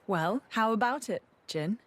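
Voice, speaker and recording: Friendly, spirited young heroine. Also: female voice, medium pitch, expressive, a little slow, a bit bright. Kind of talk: video game cutscene voice acting.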